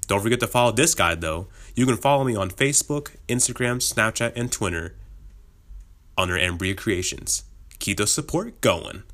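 A cheerful male podcast host winding down an episode with a friendly, rambling ramble of thanks and shout-outs. His voice sits somewhat low and carries a slight sick heaviness. He laughs often between lines. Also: lively intonation, moderate pace. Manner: upbeat, chatty, laughs often. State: slightly sick, voice a bit lower. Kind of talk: casual podcast outro.

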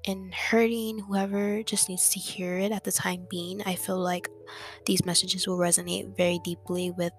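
A young woman hosting her own podcast, talking in a soft, reflective way and repeating words for emphasis. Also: fairly low pitch, flat delivery, normal speed. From Dominican family background. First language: English. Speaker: podcast host. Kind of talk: casual solo podcast monologue. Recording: music playing underneath.

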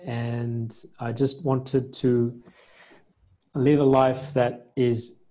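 Man from australia, young adult, warm dark tone, hesitant, lots of ums and restarts. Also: medium pitch, very slow. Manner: relaxed, hesitant, many fillers and restarts, mixes English with Indonesian. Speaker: pastor and singer. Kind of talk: casual interview chat.

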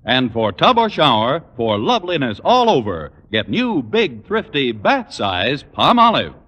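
An American man reading a vintage radio commercial with brisk, instructive salesmanship. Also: mid-range voice, wildly swooping pitch, noisy background. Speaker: commercial announcer. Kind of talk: 1940s-50s radio sponsor pitch. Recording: muffled old radio recording.

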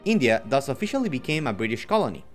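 Lively man from Ecuador co-hosting. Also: medium pitch, fast, faint music behind. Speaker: podcast co-host. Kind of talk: scripted history podcast.

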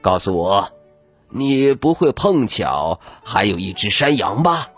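A man voicing a calm, wise old village elder who gives low, firm commands. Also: slow pace, husky, faint music behind, exaggerated voice.

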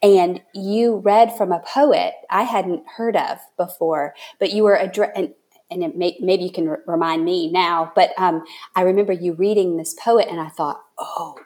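A woman hosting a casual interview chat, thinking aloud in a halting way as she works toward her point. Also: fairly low pitch, steady tone, darkish tone. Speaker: podcast host and writer.

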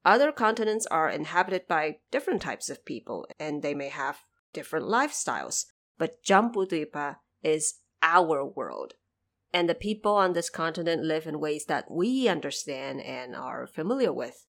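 Low-pitched woman podcast host, very lively intonation. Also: moderate pace. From China. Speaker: podcast host explaining a story. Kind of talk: scripted explanatory narration.

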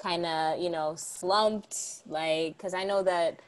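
A woman's voice: on the low side, steady tone, slow pace. Chatty young woman interviewer. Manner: chatty, rambling questions with many fillers. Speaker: interviewer host. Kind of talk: casual interview chat.

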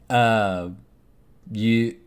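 A man's voice: on the low side, clean tone. Young man with a slight Texas twang. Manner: chatty, rambling, looks things up mid-talk. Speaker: podcast co-host. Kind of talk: casual chat.

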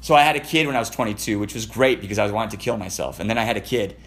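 Profane rambling man, low voice. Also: very fast, fairly bright tone. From Canada. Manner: profane, rambling, emotionally intense. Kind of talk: casual conversation, personal storytelling.

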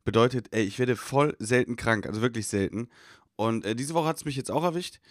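A man co-hosting a podcast while he has a cold, rambling with lots of fillers at a fast clip. His voice is recorded close and dry. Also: mid-range voice, no echo. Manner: rambling, filler-heavy talk. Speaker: podcast co-host. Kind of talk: casual chat.

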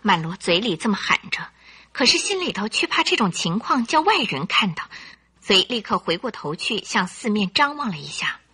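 A woman's voice: mid-range voice, sing-song, normal speed, bright, forceful tone. A woman narrating a radio drama in a theatrical, suspenseful storytelling style. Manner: theatrical, suspenseful, clearly articulated.

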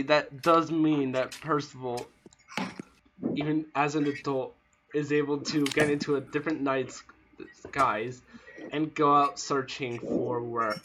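Guy running a tabletop game, explains stuff patiently, bit of hiss behind. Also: mid-range voice. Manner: explanatory, patient, talks through interruptions. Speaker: game master.

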